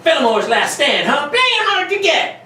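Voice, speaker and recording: A performer playing a slangy, excitable, wisecracking police sergeant in an amateur 1940s-style radio play. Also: sits high, a little slow, heavily acted. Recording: echoey room, distant mic, faint noise.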